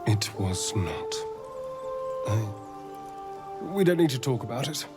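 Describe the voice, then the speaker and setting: An arch, haunted escaped slave for a video game. His delivery is theatrical and sardonic, unhurried and very animated in pitch. Music plays clearly behind him. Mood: haunted, guarded. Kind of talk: dramatic video game character acting.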